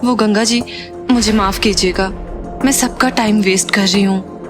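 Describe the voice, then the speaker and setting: A woman's voice: mid-range voice, steady tone, normal speed, music in the background, natural voice. A woman playing a polite, apologetic film actress in a Hindi audio drama. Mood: apologetic, flustered.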